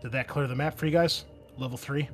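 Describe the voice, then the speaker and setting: A man's voice: mid-range voice, fairly even intonation, brisk. A man narrating a tabletop roleplaying game as game master in an informal, conversational way. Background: background music.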